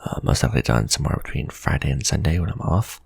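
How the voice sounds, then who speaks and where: Very deep, husky man, halting. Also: a little mellow, no room sound, clean studio sound. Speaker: ASMR video creator. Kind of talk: casual channel update.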